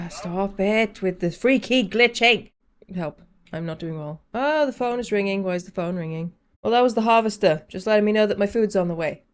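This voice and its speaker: A woman's voice: medium pitch, moderate pace, slight background noise. A woman reacting casually as a video host, with a playful, jokey, slangy way of talking.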